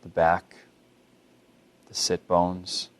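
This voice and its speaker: Deep-voiced man guiding meditation. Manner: calm, unhurried, gently instructive. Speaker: mindfulness meditation instructor. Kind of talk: guided body scan meditation.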